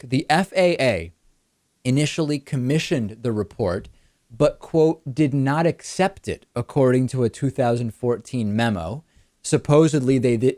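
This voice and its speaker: Male talk show host, mid-pitched, brisk and explanatory, dry studio mic. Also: a little mellow, no echo. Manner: brisk explanatory, rhetorical questions. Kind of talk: political talk show commentary.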